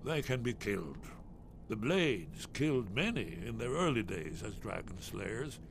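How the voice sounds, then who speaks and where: Casting: agitated elderly doomsayer for a fantasy game, urgent and dramatic, very animated delivery, noisy capture. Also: male voice, fairly low pitch, measured pace, vocal fry, exaggerated voice. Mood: grave, despairing. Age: older. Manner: fretful, dramatic, urgent muttering. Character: paranoid, agitated old man, hidden scholar of dragon slayers. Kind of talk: video game character acting.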